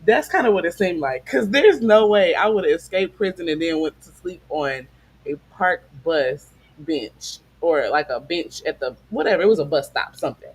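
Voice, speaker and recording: A man hosting a casual podcast, relaxed and quick to laugh, hedging his opinions. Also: on the high side, husky, low-quality recording. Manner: relaxed, laughs easily, hedges. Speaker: podcast host. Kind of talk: casual chat.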